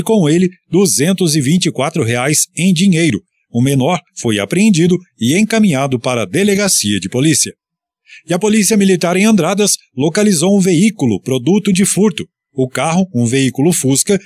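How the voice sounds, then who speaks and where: A man from Brazil reading a police news bulletin on the radio in a steady, formal newsreading manner. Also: a little high, slightly rough.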